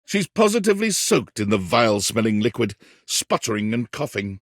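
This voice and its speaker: A whimsical storybook narrator for a video game, with a husky voice in a very dry, close recording. His delivery is theatrical and lively in pitch, wry yet tender, with carefully weighed phrasing. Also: normal speed, no room sound. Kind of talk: scripted second-person storybook narration.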